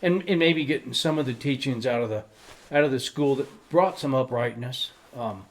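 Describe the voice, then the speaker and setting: A man with a mid-range voice joins in on a podcast. He speaks haltingly, restarts his phrases, and readily agrees with his co-host. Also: mid-range voice. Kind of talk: casual political chat.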